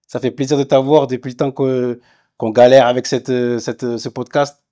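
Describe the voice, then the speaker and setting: A man's voice: medium pitch, level tone, moderate pace, dark tone. A man hosting a casual sports podcast, talking in a hesitant way with many fillers and restarts. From France. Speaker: podcast host, former footballer. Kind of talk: casual interview chat.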